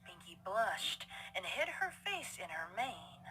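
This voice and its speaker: A woman's voice: medium pitch, sing-song, slow pace, loud music behind the voice. A woman reading scripted story narration as the narrator.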